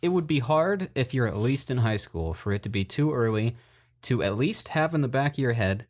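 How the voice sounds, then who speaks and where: A man's voice: medium pitch, very animated, moderate pace. A man co-hosting a podcast, giving casual advice in an informal, colloquial way. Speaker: podcast co-host.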